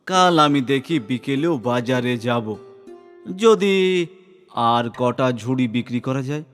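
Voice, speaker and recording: Humble village father, mid-pitched. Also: expressive, slow pace, faint music behind. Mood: reassuring. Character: humble, caring poor village father, a basket maker. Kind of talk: cartoon voice acting.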